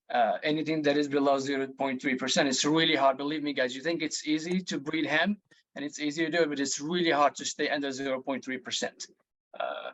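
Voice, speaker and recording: A man in his thirties with a Moroccan accent, giving an informal talk in a halting way that repeats words. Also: fairly high pitch, a bit bright, poor audio. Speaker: guest speaker, plant geneticist. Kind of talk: informal talk at an online meeting. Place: online video meeting.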